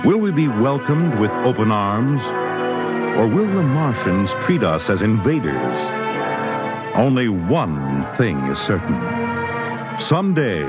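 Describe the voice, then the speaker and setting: A male announcer giving a grand, dramatic scripted narration for a 1950s radio show. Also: on the low side. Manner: slow, dramatic, grand delivery. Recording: dull lo-fi 1950s radio recording with music.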